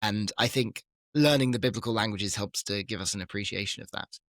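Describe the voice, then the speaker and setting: A man who is a scholar and a guest on a podcast, explaining ideas in a relaxed conversation, with emphatic rises and light laughs. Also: on the low side, fairly quick, dry recording, clean studio sound. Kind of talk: casual conversation.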